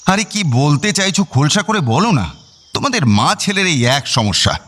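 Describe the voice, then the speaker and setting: A man's voice: a little high, very animated, normal speed, fairly bright tone. A man acting a stingy, suspicious middle-aged father in a radio drama, grumbling and hesitating as he talks. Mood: wary, grumbling.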